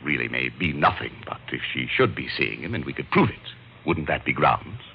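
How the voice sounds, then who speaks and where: An actor playing a rich, fussy, self-important art collector and unhappy husband in an old-time radio detective drama, with a pompous, fluttery manner. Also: male voice, very deep voice, lively intonation, normal speed, gravelly, slightly rough, exaggerated voice. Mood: fussy, agitated. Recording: dull, lightly noisy old radio recording.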